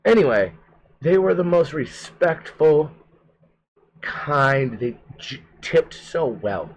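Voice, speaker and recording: A man's voice: a little high, slow, slight background noise, poor audio. A man in his thirties from Atlanta, a comedian and bouncer, telling stories in a casual chat, swearing freely and acting out what people said. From Atlanta, USA. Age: 30s. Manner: animated storyteller, swears freely, acts out quotes.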